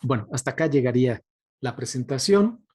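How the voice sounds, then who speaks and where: A man's voice: mid-range voice, lively intonation, brisk, a little mellow, slightly rough. A man giving an informal presentation, hesitant and conversational, often checking for agreement. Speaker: guest lecturer. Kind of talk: informal presentation on radio drama.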